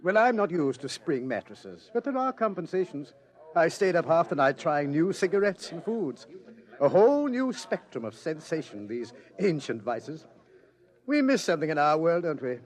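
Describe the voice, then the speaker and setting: A man's voice: fairly high pitch, big pitch swings, moderate pace. A man playing a suave, mocking, patiently menacing pursuer in a 1950s radio drama. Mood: smug, menacing. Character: smug, patient male pursuer from the future, menacing. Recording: old-time radio recording.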